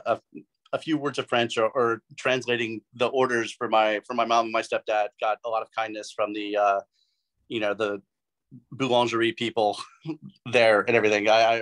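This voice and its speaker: A man's voice: on the low side, a bit bright, low-quality recording. A man telling a story on a video call, hesitant with many fillers. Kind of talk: casual group video call.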